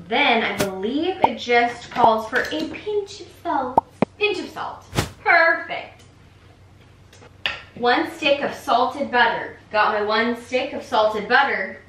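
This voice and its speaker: A young woman talking casually as she cooks in her home kitchen, chatting and teasing herself along the way. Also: high voice. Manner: chatty, self-teasing, talks while cooking. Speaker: cooking vlogger. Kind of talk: casual cook-along chat. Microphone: camera on the counter, at some distance. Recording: echoey kitchen with faint room noise.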